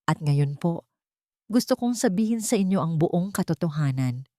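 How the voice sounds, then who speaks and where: A steadfast young heiress who has been wronged, voiced by a woman narrator in a romantic audio drama. Her voice is fairly low and dry, firm and full of feeling. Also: expressive, moderate pace, warm tone, no echo, lightly acted. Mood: trembling but resolute. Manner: firm, polite, emotionally charged declarations.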